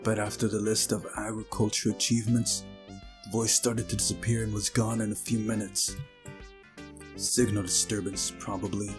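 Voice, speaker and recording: Man, lower voice, visual novel narration, let's play reader, casual asides, background music. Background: game music.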